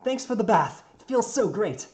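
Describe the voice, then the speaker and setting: A man's voice: a little high, big pitch swings, fairly quick, a little mellow, voice pushed up. A man voicing a cheerful, eager, klutzy human man in a story reading, with an apologetic manner.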